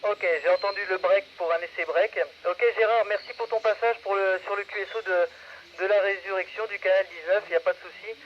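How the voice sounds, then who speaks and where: High-pitched man hosting over muffled radio. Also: steady tone. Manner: halting, hesitant phrasing. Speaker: amateur radio net host. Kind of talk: casual radio net moderation. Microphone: radio microphone. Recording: muffled radio audio with background noise.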